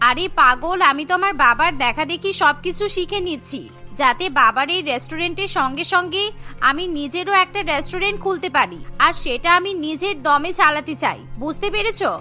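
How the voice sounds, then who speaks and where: Headstrong young cartoon wife, played by a woman: very high, bright, self-assured. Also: expressive, brisk, soft background music, full character voice. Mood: assertive. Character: ambitious, headstrong young woman, restaurateur's daughter and new bride.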